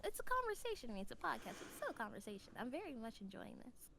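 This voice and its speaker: A woman's voice: a little high, wildly swooping pitch, fast talker, gravelly, sharp tone. Bubbly young-sounding woman guest. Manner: bubbly and enthusiastic, giggly. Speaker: guest voice actor. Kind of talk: casual chat.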